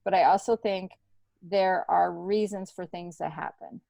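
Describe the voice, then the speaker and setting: A middle-aged woman speaks as a guest in a relaxed, candid interview. She tells stories in an animated, conversational way, slipping into quoted dialogue. The sound is dry, with very little room in it. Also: lowish voice, measured pace, vocal fry, dry recording. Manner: animated storytelling, acts out remembered dialogue. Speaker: comedian and TV worker, podcast guest. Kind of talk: casual, candid interview chat.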